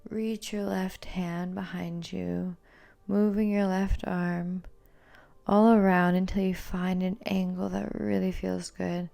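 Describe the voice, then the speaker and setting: A woman leads a yoga class in a soft-edged, mellow voice with noticeable vocal fry. Her delivery is calm and very even in pitch, each instruction gently cued. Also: takes it slowly. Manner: calm, soothing, gently cueing. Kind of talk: guided yoga and meditation instruction.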